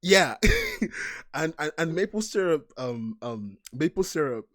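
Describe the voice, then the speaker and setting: A man's voice: on the high side, wildly swooping pitch, slow, fairly bright tone, dry recording, studio quality. A man with a British-sounding accent co-hosting a casual podcast chat, joking and laughing often. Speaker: podcast co-host.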